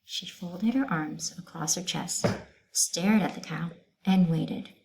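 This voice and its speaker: A woman reading a children's story aloud, expressive and pausing to put questions to her listeners. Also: on the low side, vocal fry, poor audio.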